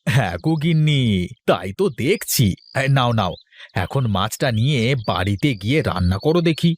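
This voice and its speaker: A man's voice: medium pitch, very animated, moderate pace, slight background noise, lightly acted. A man voicing a mild, homely married snack seller in a children's cartoon. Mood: pleased. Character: mild, homely married fuchka seller who farms fish. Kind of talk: Bengali children's cartoon acting.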